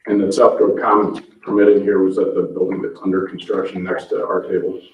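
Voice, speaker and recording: Low-voiced man chairing a meeting, echoey room. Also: little pitch movement, fairly quick. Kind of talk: formal public meeting talk, loosely spoken. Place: indoor public meeting room. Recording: distant microphone, echoey room, slightly muffled.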